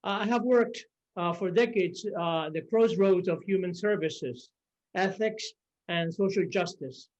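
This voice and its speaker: An older man with a Cuban Spanish accent speaks in a high, light voice, giving a formal conference talk. He is measured and pauses on fillers. The sound is dull and lo-fi, like video call audio. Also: a little mellow. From Cuba. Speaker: auxiliary bishop, conference speaker.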